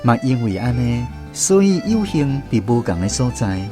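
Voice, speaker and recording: A man's voice: medium pitch, big pitch swings, moderate pace. A man with a Taiwanese accent narrating a scripted first-person story for radio. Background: instrumental music.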